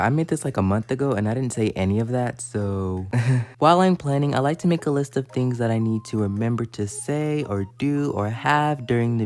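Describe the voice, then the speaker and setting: A man's voice: mid-range voice, darkish tone. A man giving a chatty, casual voice-over for a video tutorial as a YouTuber.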